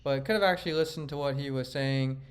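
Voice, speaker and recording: A man's voice: mid-range voice, quick pace. Self-critical man reviewing his comedy set. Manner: self-critical and rambling, with frequent restarts. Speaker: comedian and vlogger. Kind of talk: reflective commentary reviewing his own set.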